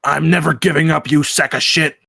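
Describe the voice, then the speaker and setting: A man's voice: fairly high pitch, fairly even intonation, a little fast, vocal fry, pushed and bright, slightly rough, voice pushed up, exaggerated voice. Man playing an indignant, defiant Bigfoot. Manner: indignant, blunt. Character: aggrieved, defiant homeless Bigfoot. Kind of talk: screenplay table read.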